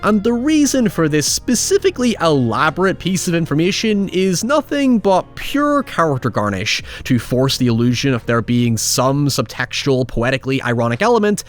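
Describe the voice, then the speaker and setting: A man's voice: a little high, very animated, normal speed, hardly any pauses. A man narrating a scripted, opinionated video essay as its host, with wry, sardonic asides and casual swearing. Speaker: host of a film retrospective show.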